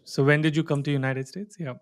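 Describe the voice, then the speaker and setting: A man's voice: fairly high pitch, level tone, quick pace. A man from India hosting an interview, reading a sponsor intro and then chatting casually with lots of fillers and restarts. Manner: casual, frequent fillers and restarts, laughs often. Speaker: host.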